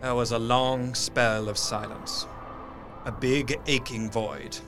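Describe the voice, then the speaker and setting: A man playing an earnest, haunted Victorian gentleman, narrating a horror drama in a measured, literary and expressive way. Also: medium pitch, natural voice. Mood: dread. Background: music.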